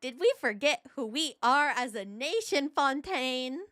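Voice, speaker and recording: A woman's voice: high-pitched, animated, unhurried, sharp tone, no room sound. A young woman streaming a game, giving casual, opinionated commentary and reading aloud into a close microphone. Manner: informal, opinionated.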